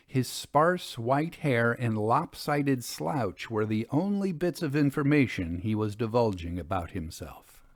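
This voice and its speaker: A man narrating a scripted first-person story in a measured, expressive, literary manner. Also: medium pitch, no echo, clean studio sound.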